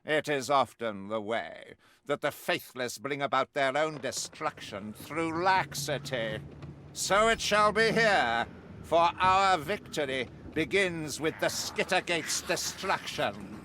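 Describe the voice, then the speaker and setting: An actor playing a zealous, righteous witch-hunting warrior priest in a video game, pompous and grandiloquent, pausing deliberately for effect. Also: fairly high pitch, animated, bright, forceful tone, a little hiss or hum, heavily acted. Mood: confident, righteous. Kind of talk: theatrical video game mission briefing.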